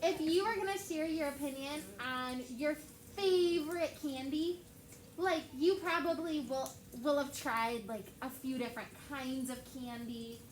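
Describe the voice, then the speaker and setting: A woman's voice: sits high, measured pace. A young woman teaching a class of children, reading a story aloud and asking the kids lots of questions in a chatty way. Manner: chatty, asks the kids many questions, heavy use of "like". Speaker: elementary school teacher. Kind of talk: classroom read-aloud lesson. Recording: echoey classroom with background noise.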